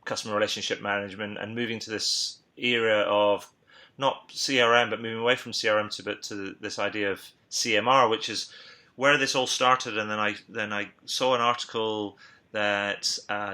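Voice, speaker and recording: A man's voice: on the low side, fairly even intonation, moderate pace, pushed and bright. A man hosting a business podcast, asking long, conversational interview questions. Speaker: podcast host.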